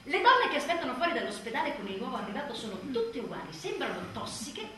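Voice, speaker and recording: A woman's voice: high voice, sing-song, fast talker. An actress playing an overwhelmed new mother in a wry, emphatic theatrical monologue, with abrupt swings in volume. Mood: wry comic. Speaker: stage actress performing a monologue. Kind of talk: theatrical comic-dramatic monologue. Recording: echoey room, distant mic, faint noise.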